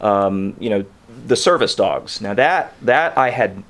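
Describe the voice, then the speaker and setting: A man hosts a studio interview show in an upbeat, chatty way. His pitch sits a little low, and he often restarts his sentences as he goes. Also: lively intonation, unhurried, a bit bright. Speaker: show host. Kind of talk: interview radio show hosting. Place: local radio studio.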